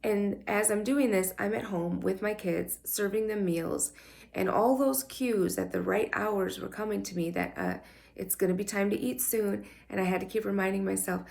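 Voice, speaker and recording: A woman's voice: medium pitch, fairly even intonation, normal speed, vocal fry, a little mellow. A woman talking candidly to the camera in a chatty, personal vlog, her voice scratchy from just waking up. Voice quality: scratchy morning voice. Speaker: vlogger. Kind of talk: casual talk to camera.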